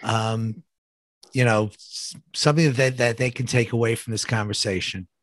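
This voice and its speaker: A man hosting a conversational interview, effusive and halting as he rephrases his questions. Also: mid-range voice, fairly even intonation, no echo. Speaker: show host.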